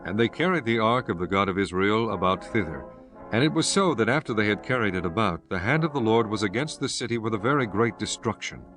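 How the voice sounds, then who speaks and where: A man reading scripted scripture narration in a solemn, measured manner. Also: fairly low pitch, expressive, a bit bright, soft background music.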